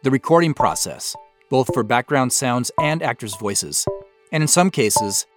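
An American man hosting a scripted behind-the-scenes commentary in a measured, explanatory manner. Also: medium pitch, animated, music in the background. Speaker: show creator and host.

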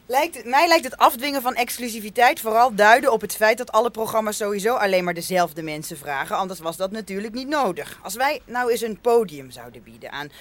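A woman's voice: a little high, animated, brisk, hardly any pauses, lightly acted. Woman playing an earnest, pretentious arty radio editor. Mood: indignant. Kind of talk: radio comedy acting.

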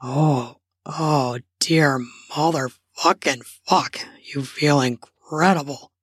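A woman voicing an eager adult male lover in a slow, low drawl. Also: fairly even intonation, breathy edge, no echo, studio quality, exaggerated voice. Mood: whispering.

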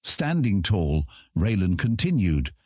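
Man, scripted audiobook narration, measured and dramatic, husky, dry close recording. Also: sits low, sing-song, mellow, no echo.

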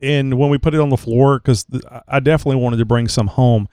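Man, podcast host, slightly crackly from a cold, mellow smooth voice, rambling with many fillers, dry close sound. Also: medium pitch, steady tone, fast talker, dry recording. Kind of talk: casual chat.

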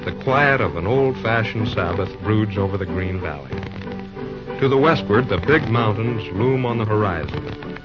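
A man's voice: fairly low pitch, lively intonation, moderate pace. A man narrating an old-time radio serial in a scripted announcer style. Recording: muffled old radio recording, music behind.

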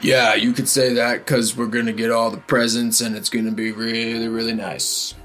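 A man's voice: mid-range voice, flat delivery, normal speed, a bit bright, natural voice. A man voicing a condescending male cult raider who stumbles over his words, over faint music. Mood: patronizing. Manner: condescending, hesitant restarts.

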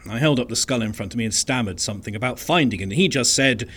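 A man playing an unassuming, wry and resigned archivist in an audio drama monologue, speaking in a dry, understated, conversational way with hesitant pauses. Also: mid-range voice, a bit bright, natural voice. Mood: uneasy, puzzled. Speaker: narrator of an audio fiction podcast.

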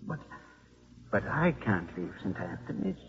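An actor playing an elderly, stubborn and wry college priest in 1950s radio drama style, with dry, emphatic delivery. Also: male voice, fairly low pitch, very animated, a little slow, gravelly, slight background noise, exaggerated voice. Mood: dismayed. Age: older. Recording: muffled 1950s radio broadcast.

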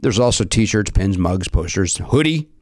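A middle-aged man with a raspy voice hosting a comedy podcast, talking loudly with quick, self-mocking jokes into a studio mic. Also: low voice, animated, no echo. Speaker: podcast host. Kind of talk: casual comedy podcast chat. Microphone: studio mic with headphones.

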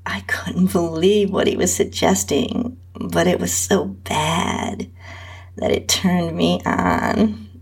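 Woman, slow pace, heavy vocal fry, husky, intimate story narration. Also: fairly low pitch, steady tone. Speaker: host and narrator. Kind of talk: intimate first-person erotic story narration.

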